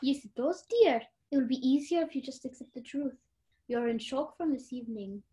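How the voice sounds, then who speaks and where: A woman's voice: high voice, moderate pace, dark tone, close to an everyday voice. A young woman acting a commanding, scheming society lady in a radio play. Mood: breezy, dismissive. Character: Lady Eleanor, commanding, scheming society lady hiding her crimes.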